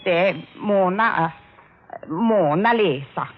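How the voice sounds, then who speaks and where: A woman playing a nervous, eager housemaid with a foreign accent and halting, broken English in radio drama. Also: fairly low pitch, lively intonation, loud music behind the voice. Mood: earnest recalling. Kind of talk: 1940s-50s radio drama acting. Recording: tinny, phone-like old radio recording.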